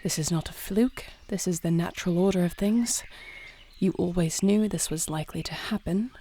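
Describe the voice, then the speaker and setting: A woman playing a dignified, dutiful young warrior princess in a fantasy audio roleplay, speaking formally and with measured, stately diction. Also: lowish voice, dark tone, slight background noise, lightly acted. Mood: anxious self-talk.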